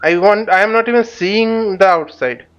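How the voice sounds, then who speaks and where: A man teaching a flight simulator tutorial, speaking haltingly and often repeating words as he explains. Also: high-pitched, a bit bright, clear, resonant tone. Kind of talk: spoken tutorial while flying a simulator. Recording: dull lo-fi microphone sound.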